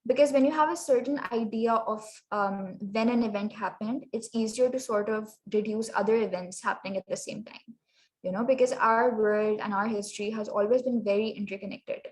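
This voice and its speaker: A woman's voice: medium pitch, a little fast, low-quality recording. Chatty young woman with a Pakistani English accent. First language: Sindhi. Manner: chatty, many fillers, slips into Urdu phrases. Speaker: workshop presenter. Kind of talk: casual presentation.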